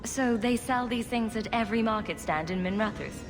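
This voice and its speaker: An actress playing a steady female warrior hero, calm and gently teasing. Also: on the high side, brisk, background noise, lightly acted. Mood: casual. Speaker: player hero. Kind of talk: video game voice acting. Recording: long reverb under game music.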